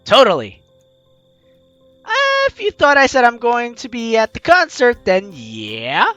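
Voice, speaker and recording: A video game host with an animated, teasing, exclamatory way of talking, reading aloud and commenting playfully. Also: mid-range voice, a little slow, highs cut off, faint music behind. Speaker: let's-play video host.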